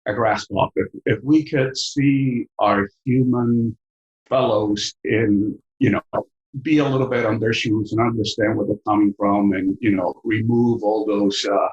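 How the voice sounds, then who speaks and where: A man's voice: on the low side, fairly even intonation, a little slow, dull audio. A middle-aged man with a Mexican accent, a professor in a podcast interview, speaking thoughtfully with many fillers and repeated words. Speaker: business school professor, guest. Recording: echoey room.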